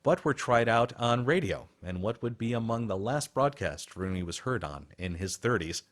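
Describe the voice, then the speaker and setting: A man's voice: mid-range voice, expressive, moderate pace. A man hosting a radio show with polished, scripted documentary-style narration.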